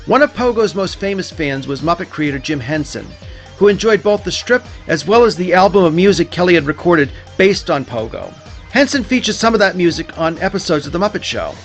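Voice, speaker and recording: A man's voice: sits high, big pitch swings, a little fast, faint music behind. A man hosting a podcast live, introducing the show. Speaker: podcast host. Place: live venue at a hotel.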